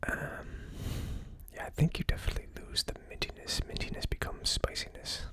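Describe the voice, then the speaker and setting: An ASMR host whispering a tasting review in a hushed, unhurried, musing way. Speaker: host of a whiskey tasting channel.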